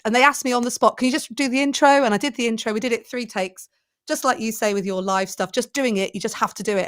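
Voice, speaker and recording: A woman in her forties, a presenting coach, talking enthusiastically as a podcast guest and thinking aloud as she goes. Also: on the high side. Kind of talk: conversational podcast interview.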